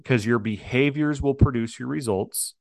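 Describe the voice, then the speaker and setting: A man's voice: mid-range voice, moderate pace, gravelly, darkish tone, no room sound. A man speaking as a coach in an interview, emphatic and upbeat in a motivational way. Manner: emphatic, upbeat, acts out quoted voices. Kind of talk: interview guest, motivational talk.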